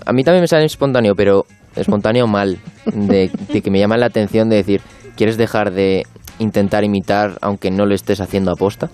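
A man's voice: fairly low pitch, fairly quick, hardly any pauses, a little mellow, soft background music. A man with a light Basque accent chatting casually on the radio. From Basque Country, Spain. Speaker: radio contributor. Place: radio studio.

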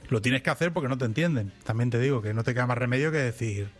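A man's voice: medium pitch, fast talker, soft background music. Chatty man, rambling storyteller. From Spain. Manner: rambling, animated storytelling with restarts. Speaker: podcast co-host. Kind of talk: casual chat.

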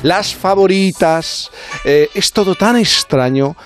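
A man's voice: high-pitched, takes it slowly, fairly bright tone. Chatty male radio host, music behind. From southern Spain. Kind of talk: casual radio chat.

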